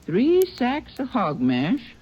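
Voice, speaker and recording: Helpful, self-assured country shop clerk, old-time radio. Mid pitch, very lively delivery, muffled recording. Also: female voice, takes it slowly, a little hiss or hum, full character voice. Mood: helpful, certain. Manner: chatty, sure of herself. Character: brisk, helpful female country feed-store clerk. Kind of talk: 1950s radio drama acting.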